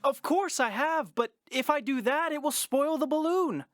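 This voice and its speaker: A man's voice: very high voice, expressive, moderate pace, a bit bright. A man playing a polite, innocent, helpful young boy in a children's audio drama. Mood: concerned.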